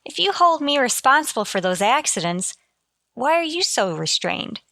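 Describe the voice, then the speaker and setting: A woman plays a wry, quick-witted schoolteacher in a radio sitcom. Her voice is bright and animated, recorded close and dry. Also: sits high, creaky, no room sound, heavily acted. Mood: pleading, wry. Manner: wry, deferential sarcasm. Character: wry, quick-witted female high school English teacher. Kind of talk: old-time radio sitcom acting.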